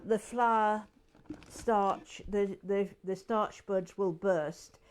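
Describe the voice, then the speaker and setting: Older woman, Yorkshire accent, home cooking demo, chatty rambling asides, slow easy pace. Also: medium pitch, fairly even intonation, darkish tone, smooth. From England. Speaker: cooking show host. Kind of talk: casual cooking demonstration.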